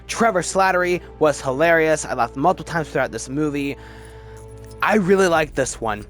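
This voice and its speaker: A man giving casual, opinionated commentary as a video host, emphatic and halting, often restarting his sentences. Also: on the high side, animated, a bit bright, soft background music. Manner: chatty, halting, restarts sentences mid-word. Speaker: movie review video host. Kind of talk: casual ranked-list movie commentary.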